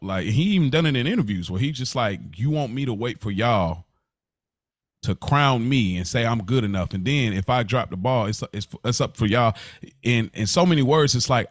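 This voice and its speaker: A man's voice: medium pitch, no room sound. Man chatting casually, close dry sound. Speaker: podcast co-host. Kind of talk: casual podcast conversation.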